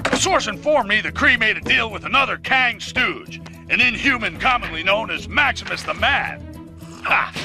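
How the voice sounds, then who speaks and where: A blustering, irritable newspaper boss, very high in pitch and swinging widely as he delivers theatrical mock news for a video game. Music plays behind him. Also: pushed and bright, heavily acted. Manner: bombastic, theatrical. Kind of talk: animated game cutscene, mock news broadcast.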